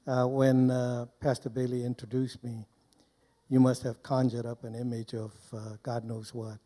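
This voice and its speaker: An older man from Burma speaks into a close handheld mic in a mellow, dark-toned voice at medium pitch. He gives personal testimony to an audience informally, pausing often and moving in short, deliberate phrases. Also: flat delivery. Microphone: handheld microphone held close.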